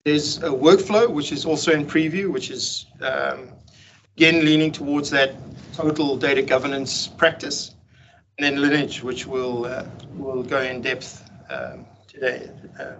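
A man gives a technical walkthrough of software features from a home room on a video call, pausing often with ums and restarting sentences. His voice sits a little higher than most men's. The computer-mic audio is dull and lo-fi, with a faint hiss underneath. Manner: relaxed, explanatory, frequent ums and restarts. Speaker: meetup presenter. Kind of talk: technical presentation over slides.

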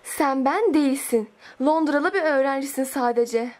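A woman voicing a haughty, spoiled teenage high school diva in an amateur film dub, bossy and affected. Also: unhurried, a little mellow. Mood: hurt, indignant. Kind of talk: amateur fan dub acting.

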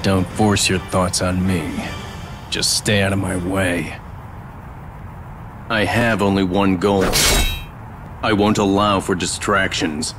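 A man playing a cold, stoic young lone-wolf warrior in a video game, terse, aloof and deadpan. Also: low voice, slow, pauses often. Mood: curt resolve. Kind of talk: video game cutscene acting. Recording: loud music behind the voice. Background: game music.

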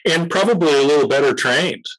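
A man in his fifties, an expert guest on a video call, explaining technical matters casually with hesitant pauses. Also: fairly high pitch, fairly bright tone, poor audio. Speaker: interview guest, radio communications expert. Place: home room, on a video call.

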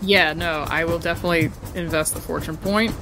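A woman's voice: low-pitched, fairly even intonation, brisk. Female tabletop player, casual conversational delivery, music running behind her. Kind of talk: casual game chat.

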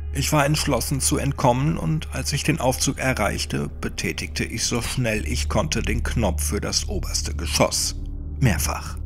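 A man narrating a first-person horror story in a dramatic, brooding audiobook style, often sinking toward a breathy whisper. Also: fairly low pitch. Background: music.

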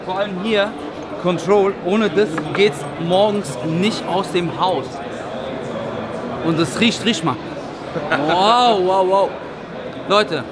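A man in his thirties, a barber, giving an excited, chatty vlog tour at a trade fair. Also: high voice, slow, long pauses. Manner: upbeat, enthusiastic, chatty. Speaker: vlogger and barber. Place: busy indoor convention hall. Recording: crowd chatter behind.

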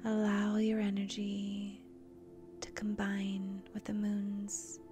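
Slow, soft woman guiding a meditation. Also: mid-range voice, monotone, soft background music. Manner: gentle, soothing, short phrases with long pauses. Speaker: meditation guide, channel host. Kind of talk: guided meditation.

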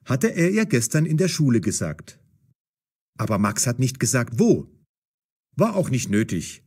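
A man's voice: mid-range voice, very animated, a little slow, darkish tone, breathy edge. A man narrating a scripted story for language learners in short, dramatic sentences.

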